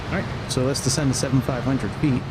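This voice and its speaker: A man streaming a flight simulator, halting as he thinks aloud and makes pilot radio calls in a casual way. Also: mid-range voice. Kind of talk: casual live gameplay commentary and radio calls. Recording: loud steady engine drone. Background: simulated jet cockpit noise.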